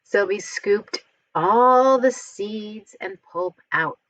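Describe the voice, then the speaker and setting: A woman reading a storybook aloud to young children, expressively and with deliberate, careful enunciation. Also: mid-range voice, fairly bright tone, dry recording, rough recording. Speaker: storybook reader.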